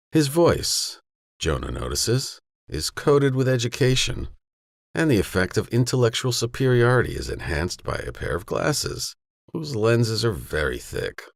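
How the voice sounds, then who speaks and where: A man narrating a western novella as an audiobook, in a measured, grave storytelling manner. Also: on the low side, gravelly, dry recording, studio quality.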